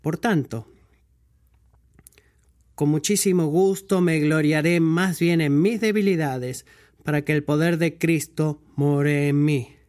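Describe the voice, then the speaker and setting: A man with a fairly high voice delivers a sermon from the pulpit. He speaks in a measured, unhurried way, pausing often and correcting his own slips as he goes. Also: a little mellow. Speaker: preacher. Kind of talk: scripture reading and sermon.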